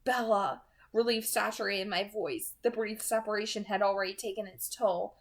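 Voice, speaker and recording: Mid-pitched woman reading a novel aloud. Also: steady tone, normal speed. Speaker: amateur book narrator.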